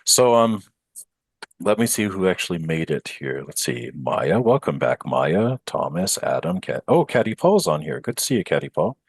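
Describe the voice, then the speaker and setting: A man's voice: sits low, very animated, moderate pace, gravelly, slightly rough, no echo. A man hosting an online prayer group chat on a video call, easygoing and welcoming people as they join.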